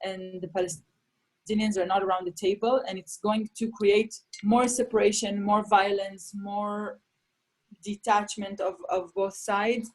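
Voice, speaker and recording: Woman, young adult, Farsi mother tongue, lively casual talk, frequent fillers, mid pitch. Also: measured pace, rough recording. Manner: animated, many fillers and restarts. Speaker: comedian guest. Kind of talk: casual remote interview.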